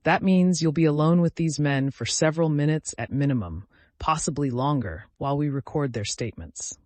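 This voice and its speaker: A man's voice: fairly high pitch, moderate pace, a little mellow, dry recording, natural voice. A man voicing a professional, direct woman prosecutor with controlled tension in a dramatic audio story. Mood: stern warning.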